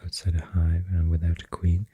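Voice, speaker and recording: Breathy, husky Swedish-accented ASMR voice, very close. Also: deep voice, monotone, mellow, dry recording. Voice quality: breathy, husky, often slipping into whisper. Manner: slow, hesitant, pausing between phrases. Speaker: ASMR creator. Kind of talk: soft-spoken ASMR rambling while drawing. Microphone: close microphone.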